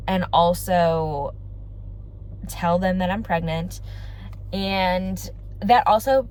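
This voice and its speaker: A woman's voice: on the low side, a little hiss or hum, rough recording. A young woman with a congested voice, chatting casually and rambling to her vlog audience. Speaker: family vlogger. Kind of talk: casual chat to camera.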